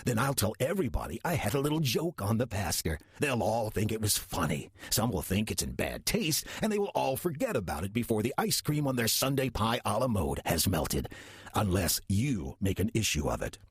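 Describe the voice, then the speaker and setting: Slothful demon in human guise, played by a man: heavily husky, animated delivery, sardonic and coaxing, for radio drama. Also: fairly low pitch, normal speed, no room sound, studio quality, exaggerated voice. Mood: coolly menacing. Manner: sardonic, casual, persuasive. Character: wry, slothful male demon posing as a friendly churchgoer. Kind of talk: audio drama dialogue.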